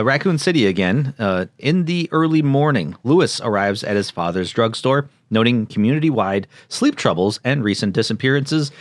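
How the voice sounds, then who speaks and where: A man's voice: mid-range voice, dry recording. A man co-hosting a podcast, casually reading a plot recap from notes and joking along. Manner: jokey, prone to laughing. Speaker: podcast co-host.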